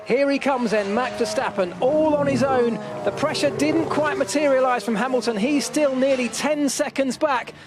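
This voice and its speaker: A man giving excited live motor racing commentary as the lead commentator. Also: very high-pitched, moderate pace, hardly any pauses, music in the background.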